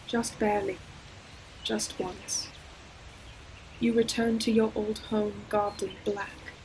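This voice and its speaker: A woman plays an ancient forest demon who speaks slowly and solemnly, in a narrow, measured range. She is a tender, sorrowful protector in an audio roleplay, choosing each poetic phrase with care. Faint ambient sound sits behind the voice. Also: medium pitch, darkish tone, a little hiss or hum, lightly acted. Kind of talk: scripted audio roleplay monologue, slow dramatic storytelling. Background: ambient environmental sound effects.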